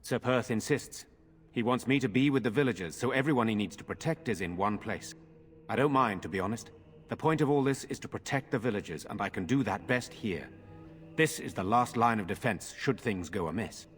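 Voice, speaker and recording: An actor in a fantasy game playing an earnest, weary nobleman defending his village, speaking formally and gravely. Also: lowish voice, lively intonation, fairly quick, in a large hall, slight background noise, natural voice. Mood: earnest, resolute.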